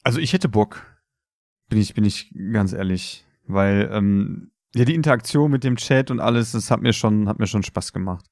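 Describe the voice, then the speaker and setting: A man co-hosting a podcast in casual chat, talking colloquially and a bit haltingly. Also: on the low side, animated, darkish tone, no echo, studio quality. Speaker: podcast co-host.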